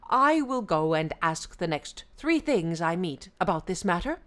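A woman's voice: on the low side, very animated, a little slow. A woman voicing a kind, timid, poor Brahmin man who speaks earnestly and pleadingly in a folk tale. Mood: earnest asking. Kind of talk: oral folk tale storytelling.

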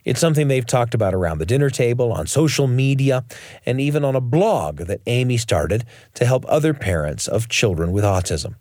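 A man's voice: mid-range voice, animated, a little fast, darkish tone, no room sound, clean studio sound. A man hosting a radio show, reading scripted narration. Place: studio.

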